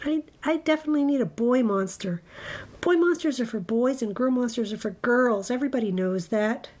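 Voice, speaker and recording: A woman's voice: on the high side, a little fast, a little mellow, close to an everyday voice. A woman voicing a picky, plucky child at bedtime in a children's storybook, frank and insistent. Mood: frank, nervous.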